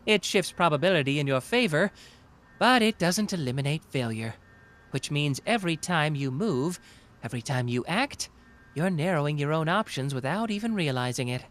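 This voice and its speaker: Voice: mid-range voice, animated, moderate pace, a bit bright, close to an everyday voice. A performer playing a cocky, playful young male genius detective in an anime roleplay, taunting and analytical in a theatrical way. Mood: confident taunting. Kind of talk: anime roleplay audio drama, deduction monologue.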